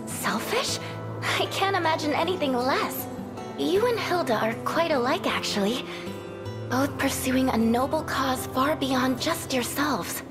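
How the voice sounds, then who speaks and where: A woman playing a gentle, courteous young cleric in a fantasy video game, with a sweet, caring manner. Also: high voice, expressive, unhurried, over music. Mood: polite, cheerful.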